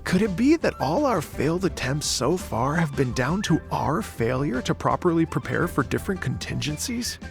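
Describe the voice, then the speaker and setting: A man's voice: on the high side, big pitch swings, moderate pace, gravelly, hardly any pauses, music in the background. A man playing an earnest, courteous and slightly flustered musician in an anime video game. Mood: thoughtful. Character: earnest, hopeful, polite male musician.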